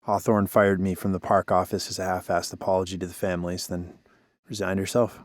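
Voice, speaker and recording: An actor playing a broken-down radio DJ, weary, sardonic and self-deprecating, in conversational audio drama dialogue. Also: male voice, low voice, little pitch movement, fairly quick, no echo, studio quality, close to an everyday voice. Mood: rueful, bitter.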